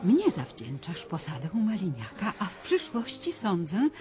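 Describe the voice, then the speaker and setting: A woman's voice: fairly low pitch, wildly swooping pitch, normal speed, music in the background. An actress playing a scheming, patronising society lady with a theatrical, condescending manner, in a radio drama. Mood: patronising, menacing.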